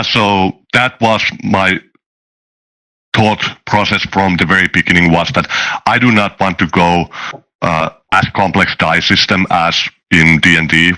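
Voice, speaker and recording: A man talking casually as a game designer being interviewed, halting and often restarting his words. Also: low voice, pushed and bright, low-quality recording. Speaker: tabletop game designer, guest.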